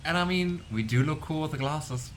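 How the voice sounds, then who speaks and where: A man giving casual gameplay commentary, chatty and curious, thinking out loud. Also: fairly high pitch, normal speed, slight background noise. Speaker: let's play streamer.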